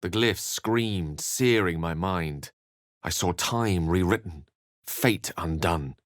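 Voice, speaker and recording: An actor playing a grave adventurer, narrating his own haunted thoughts in a solemn, measured, dramatic way for a video game. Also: sits low, dry recording, clean studio sound. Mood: tense, solemn. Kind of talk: scripted first-person game narration.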